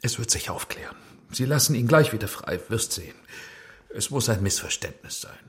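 A man's voice: mid-range voice, expressive, moderate pace, lightly acted. A man playing a courteous, uneasy film director and father in a German radio drama, mild and hesitant. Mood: reassuring.

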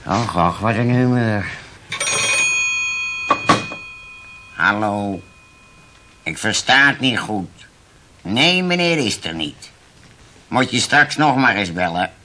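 A man's voice: lowish voice, expressive, slow, long pauses, very noisy. A male actor playing a grumpy, dismissive office clerk in a Dutch radio play, giving blunt, short answers. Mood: irritated.

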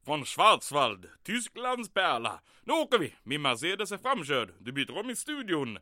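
Pompous officer, pitched high, swoops all over, self-satisfied, old radio play. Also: male voice, very animated, sharp tone, no echo, studio quality. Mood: jovial, commanding. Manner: insistent, self-satisfied, sprinkles speech with German. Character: pompous, vain, excitable male Nazi German commandant. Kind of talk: old time radio comedy drama acting.

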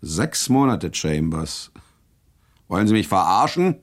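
A man acting a shrewd, hard-boiled prosecutor who interrogates with sardonic pressure in a German radio drama, gravelly in voice. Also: low-pitched, big pitch swings, unhurried. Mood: accusing, pressing.

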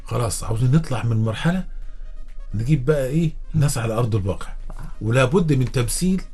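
A man's voice: medium pitch, animated, quick pace, warm tone, breathy edge. A man with an Egyptian accent, a guest sports analyst on a radio talk show, arguing his points emphatically and repeating himself to drive them home. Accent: Egyptian Arabic. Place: radio studio. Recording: radio broadcast with faint music bed.

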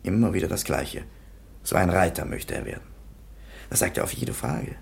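A man's voice: deep voice, moderate pace, creaky, full character voice. An actor playing a perplexed, somewhat dismissive police commissioner giving his report in a radio play. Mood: bemused, recounting. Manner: animated storytelling, dismissive.

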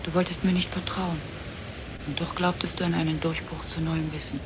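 A woman's voice: low-pitched, flat delivery, fairly quick, natural voice. A woman playing an enigmatic young artificial woman, solemn and searching, in a German radio drama. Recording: noisy old radio play recording.

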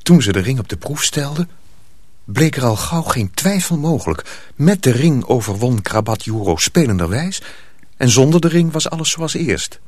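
A man's voice: medium pitch, big pitch swings, moderate pace, a little mellow, no echo. A man narrating a radio drama from a script.

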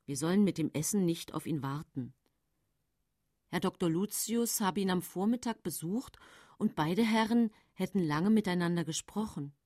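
A woman's voice: fairly low pitch, moderate pace, dark tone, no room sound, clean studio sound, natural voice. An actress playing a composed, matter-of-fact woman poisoner who recounts her case in sober radio play narration. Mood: numb, factual. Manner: sober, controlled storytelling.

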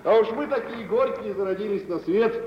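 An actor playing a jovial, sly, mocking wealthy Russian merchant in mid-century radio theatre style, teasing with false humility. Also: male voice, high-pitched, brisk, close to an everyday voice. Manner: teasing, mock-humble, chuckling gossip. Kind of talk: 1940s-50s radio theatre acting. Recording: old radio recording, hollow hall, muffled, hissy.

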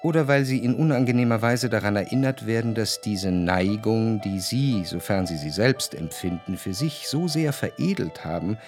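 An actor playing the reflective, observant first-person narrator of a German radio drama, speaking in a measured, literary way. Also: male voice, on the low side, over music, natural voice. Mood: reflective, wry.

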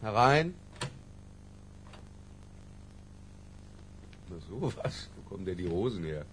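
A man's voice: low-pitched, big pitch swings, a little fast, slight background noise. An actor playing a controlling middle-aged stepfather in a radio drama, formal and lecturing, with an awkward edge. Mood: suspicious.

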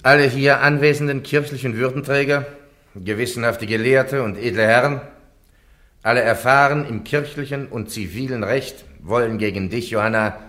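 An actor playing a solemn, authoritative bishop presiding over a trial in a radio play, formal, measured and admonishing. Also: male voice, fairly low pitch, fairly bright tone. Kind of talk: German radio play acting.

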